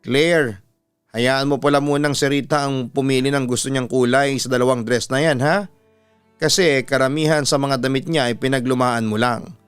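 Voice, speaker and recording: A man's voice: mid-range voice, fairly even intonation, normal speed, clear, resonant tone, dry recording, lightly acted. A man with a Filipino accent voicing a bossy, protective mother who nags her children in a dramatised story. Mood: instructing. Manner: nagging, bossy. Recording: music behind the voice.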